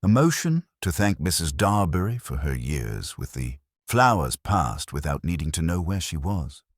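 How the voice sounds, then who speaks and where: A man narrating a detective story in a measured, dry, formal storytelling manner. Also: low voice, big pitch swings, slightly rough, no room sound. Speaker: audiobook narrator.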